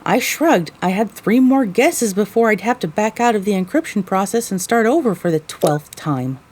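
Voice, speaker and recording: A woman's voice: mid-range voice, moderate pace, natural voice. A woman playing a young female pony, a weary, sarcastic wasteland survivor narrating her own story expressively in an audio drama. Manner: expressive, theatrical. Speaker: narrator and lead character.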